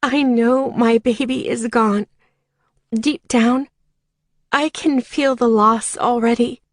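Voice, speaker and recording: A woman's voice: on the high side, fairly even intonation, takes it slowly, no room sound, studio quality. A woman narrating a dramatic first-person audiobook in an emotive, scripted read.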